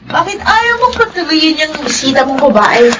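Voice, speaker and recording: An actress in a Filipino radio drama playing a haughty, unforgiving woman who scolds in a sharp, accusing way. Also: high voice, sing-song, normal speed, noisy background. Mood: angry, indignant.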